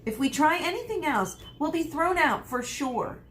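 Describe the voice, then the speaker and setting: A woman's voice: high-pitched, lively intonation, normal speed, a bit bright, pitched above the performer's own voice. A woman reading aloud voices a sensible eleven-year-old girl witch who speaks firmly. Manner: firm, no-nonsense.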